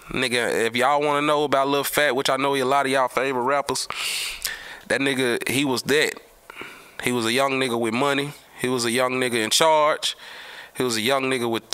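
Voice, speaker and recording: A middle-aged man tells street stories casually in slang, with emphatic, animated delivery and repeated words. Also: mid-range voice, clean tone. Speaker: storyteller, host of his own show. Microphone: close podcast mic on a boom arm.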